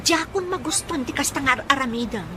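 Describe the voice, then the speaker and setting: A woman's voice: high-pitched, animated, fast talker, noisy background. A woman playing an irritable, scornful young woman in an Ilocano radio drama, with a curt, complaining manner. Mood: annoyed. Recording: music behind the voice.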